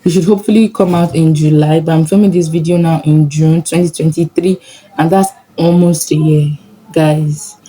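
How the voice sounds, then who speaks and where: A woman's voice: low voice, steady tone, moderate pace, dark tone, poor audio. A young Nigerian woman with a Nigerian accent, chatting casually and upbeat in a sit-down vlog. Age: young adult. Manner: chatty, upbeat, laughs often. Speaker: vlogger, medical student.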